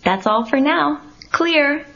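Sweet teen girl, highish voice, muffled ham radio sound. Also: animated, takes it slowly, fairly bright tone, full character voice. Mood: cheerful. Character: sweet, chirpy, friendly teenage girl. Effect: ham radio filter. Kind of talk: dramatized comic-book audio story acting. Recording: muffled crackly radio transmission.

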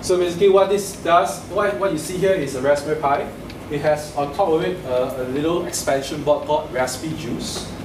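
A man's voice: fairly high pitch, a little fast. A man in his forties giving a casual hands-on tech talk at a meetup, explaining step by step and restarting phrases as he goes. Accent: Singaporean English. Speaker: meetup speaker. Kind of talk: live tech talk with slides. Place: meeting room with projector. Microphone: room camera mic from a distance. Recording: echoey room, distant mic, noisy.

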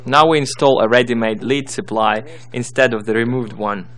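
A man's voice: mid-range voice, normal speed, fairly bright tone. Man narrating a repair tutorial. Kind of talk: scripted instructional narration.

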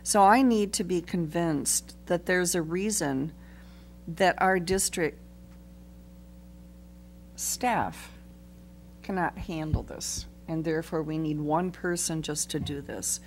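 A middle-aged woman at a school board meeting, asking measured questions into a desk microphone. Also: lowish voice, slight background noise.

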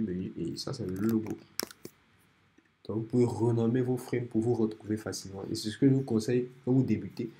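A man with a cold, calmly walking through a software design tutorial step by step over a screen recording. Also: on the low side, a little hiss or hum. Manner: relaxed, step-by-step explaining with many restarts. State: has a cold.

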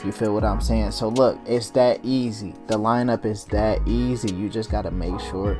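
A man's voice: on the low side, moderate pace. A young man hosting his own animation channel, talking casually in slang as he walks through a drawing tutorial. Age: young adult. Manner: hyped barber persona at the start, then relaxed mumbling while working. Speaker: cartoon animator and channel host. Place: home studio at desk. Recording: music playing under the voice.

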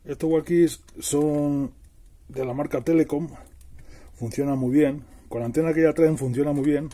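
Middle-aged man, very dark mellow voice. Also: a little high, steady tone, moderate pace. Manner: explanatory, repeats and rephrases while working. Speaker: DIY tutorial host. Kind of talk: casual hands-on tutorial narration.

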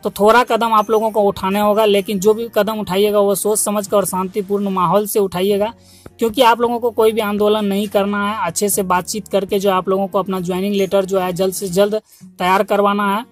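A man's voice: high voice, little pitch movement, brisk. A man hosting an online channel, giving viewers an informational update in a repetitive, instructional way. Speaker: YouTube channel host. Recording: music under the voice. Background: background music.

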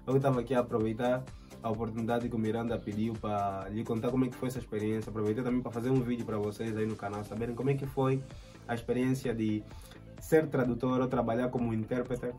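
A young man in his twenties with an Angolan Portuguese accent, the channel host, telling a story in relaxed casual chat full of slang and fillers. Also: medium pitch, fast talker, faint music behind. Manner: relaxed, fills with "yeah" and "tipo", slang. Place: indoor room, flag backdrop.

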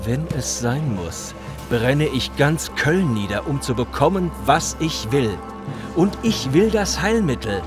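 Guy playing smug ruthless gang leader in a radio play, creepy polite, animated voice over music. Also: mid-range voice, slow pace. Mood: coldly menacing. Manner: mock-polite, theatrical menace. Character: ruthless gang boss, male, smug, menacingly courteous. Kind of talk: German audio drama acting.

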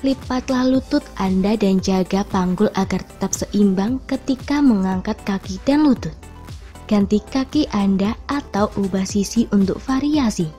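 A woman reading scripted instructional narration in a measured, clearly enunciated way. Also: mid-range voice, dark tone. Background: background music.

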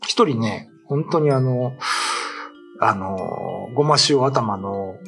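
A middle-aged man explaining folklore in casual talk, step by step and with pauses, as a co-host. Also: on the low side, lively intonation, gravelly, soft background music. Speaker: writer and co-host. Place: small meeting room set up as a studio, indoors.

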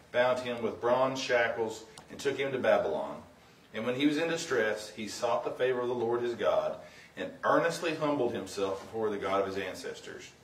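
A man's voice: medium pitch, a little hiss or hum. Middle-aged man preaching from far off, roomy sound. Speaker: guest preacher. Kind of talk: sermon with scripture reading. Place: church sanctuary, indoors. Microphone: far camera. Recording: roomy, distant from the microphone.

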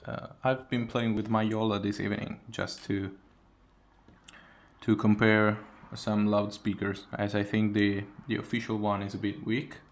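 A man giving casual hands-on commentary as a tech hobbyist, in a halting way with pauses between phrases. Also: lowish voice, little pitch movement. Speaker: tech hobbyist demonstrating a phone mod. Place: indoors, at a wooden table.

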